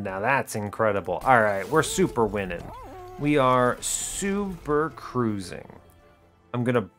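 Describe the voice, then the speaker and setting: A man's voice: lowish voice, a bit bright. A man hosting a gaming video, thinking aloud in casual, chatty commentary with breezy, wry understatement. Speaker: host of an educational gaming video series.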